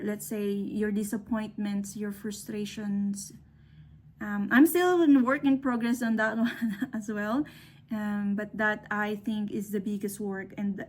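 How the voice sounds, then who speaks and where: A middle-aged woman from the Philippines, whose first language is not English, giving an earnest, motivational talk to young engineers, with fillers and restarts. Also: medium pitch. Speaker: speaker.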